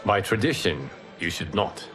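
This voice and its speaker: Deep, calm, wise mentor. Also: male voice, faint music behind. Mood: solemn, instructive. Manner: measured, formal, explanatory. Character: calm, wise male mentor of a young champion. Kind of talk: dramatic video game acting.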